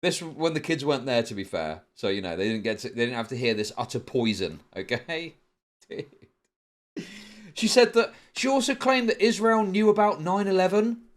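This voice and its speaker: A middle-aged man with a British accent hosting a livestream, chatting casually and telling stories in a rambling, sweary, animated way. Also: a little high, moderate pace. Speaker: livestream host. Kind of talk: casual chat to a livestream audience.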